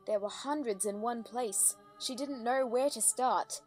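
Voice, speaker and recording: A woman's voice: fairly high pitch, unhurried, darkish tone. Woman narrating a story over faint music.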